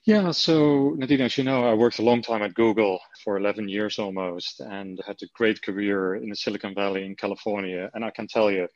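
Casting: Dutch-accented man, lowish voice, relaxed and chatty, dry dull-sounding remote audio. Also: fairly quick, few pauses, dry recording. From Netherlands. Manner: relaxed, chatty, frequent fillers. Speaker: marketing executive guest. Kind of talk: conversational interview.

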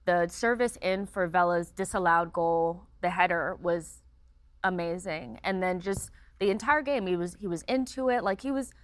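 A woman giving casual sports commentary as a panelist, candid and measured in her judgments. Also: fairly low pitch, no room sound. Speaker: sports show panelist and analyst.

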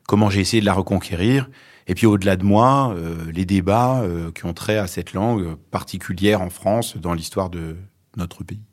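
Deep-voiced reflective man in his forties. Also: a little mellow. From Lebanon. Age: 40s. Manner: reflective, many hesitations. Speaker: author and journalist guest. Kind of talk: interview, personal storytelling.

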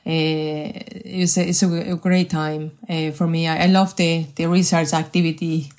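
A woman in her forties from Spain with a Spanish accent, speaking measured and hesitantly as a guest in a podcast interview. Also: sits low, little pitch movement, darkish tone. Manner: measured, hesitant, many restarts. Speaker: guest, health research manager.

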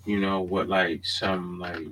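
A very low-pitched man, talking loosely and circling his point as a podcast co-host. He keeps dropping "you know what I mean" between thoughts. A faint hum of noise sits under the recording. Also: little pitch movement, takes it slowly. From Baltimore, USA. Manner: laid-back, rambling, fills with "you know what I mean". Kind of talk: casual chat.

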